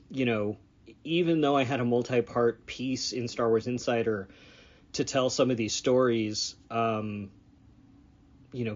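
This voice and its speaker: Slightly husky man hosting a podcast. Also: medium pitch, muffled recording. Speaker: podcast host. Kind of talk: casual spoken podcast introduction.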